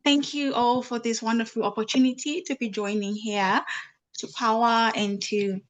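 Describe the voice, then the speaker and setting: A woman's voice: on the high side, steady tone, normal speed. A young woman from Zimbabwe introducing herself fluently and politely on an online panel. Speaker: panelist, researcher. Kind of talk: self-introduction.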